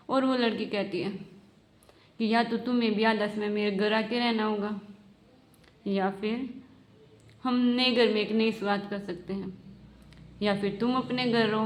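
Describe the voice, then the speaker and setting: A woman with a mid-pitched voice recites a satirical spoken-word piece in the first person. Her delivery is deliberate, built on short declarative phrases. The recording is echoey, with the room clearly audible around her. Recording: roomy, echoing voice recording.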